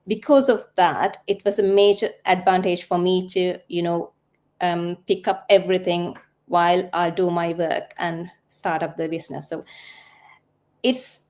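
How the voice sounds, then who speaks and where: A woman's voice: on the low side, a little mellow. A woman in her thirties with a Sri Lankan accent, giving a casual testimonial as a guest on an online call. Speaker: guest, nurse in online business. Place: live online video call.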